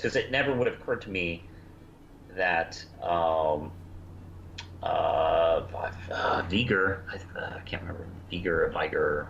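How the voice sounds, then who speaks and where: Male co-host, very low voice with lively swings, hesitant casual review chat, muffled recording. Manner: halting, hesitant, often restarting sentences. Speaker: podcast co-host reviewing cartoons. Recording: roomy, muffled, noisy home recording.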